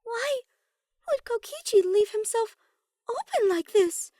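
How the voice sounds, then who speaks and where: Wary schoolgirl classmate, acted by a woman narrator: very high-pitched, slow and suspicious, audiobook drama. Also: expressive, dark tone, dry recording, studio quality, full character voice. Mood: wary, puzzled. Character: wary murmuring teenage girl classmate.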